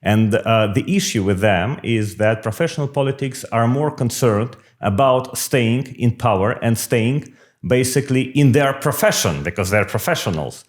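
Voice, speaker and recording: A middle-aged man speaks English in a measured, explanatory way, pausing on frequent fillers as he answers interview questions. His voice sits at an ordinary middle pitch for a man. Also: animated. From Russia. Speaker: political candidate. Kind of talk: podcast interview.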